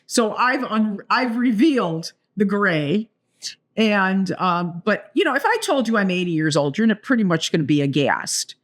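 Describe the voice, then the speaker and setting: Lively, chatty woman in her seventies. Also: mid-range voice, moderate pace. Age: 70s. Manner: chatty, animated storyteller with playful asides. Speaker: podcast co-host. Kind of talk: casual chat.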